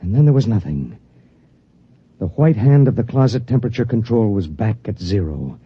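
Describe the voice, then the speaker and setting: An actor playing a lonely spaceship pilot who narrates in a grave, measured way in a 1950s radio drama. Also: male voice, lowish voice, very animated, slightly rough, full character voice. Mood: grim. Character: lonely male spaceship pilot, grim and weary. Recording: dull lo-fi vintage radio.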